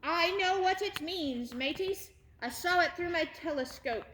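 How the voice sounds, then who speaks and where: A woman's voice: very high voice, unhurried, echoey room, a little hiss or hum, voice pushed up, heavily acted, low-quality recording. Woman doing Captain Crow, a squawky pirate bird. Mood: urgent. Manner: pirate slang, excited exclaiming. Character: blustery male pirate-talking crow captain.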